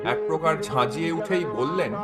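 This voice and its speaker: A man narrating a scripted story in an expressive, literary manner. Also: on the high side, normal speed, loud music behind the voice.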